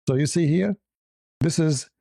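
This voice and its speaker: A man's voice: fairly high pitch, gravelly, dark tone, husky, dry recording, studio quality. A man hosting a live news commentary stream, blunt and opinionated, rambling with hesitant fillers and speaking English as a second language. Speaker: host.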